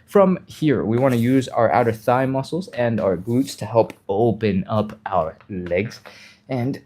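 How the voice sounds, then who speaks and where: Mellow, slightly nasal man coaching stretches. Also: medium pitch, expressive, a little slow. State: mild cold, a little sniffly. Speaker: fitness instructor, livestream host. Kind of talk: casual live stretching instruction.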